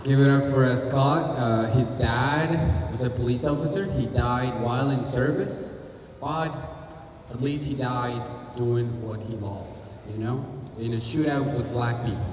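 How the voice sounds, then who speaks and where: A man delivering a stand-up roast with punchy, deadpan insult jokes. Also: mid-range voice, unhurried, a little hiss or hum. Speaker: roast comedian. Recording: echoey hall, distant mic, crowd. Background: audience laughter.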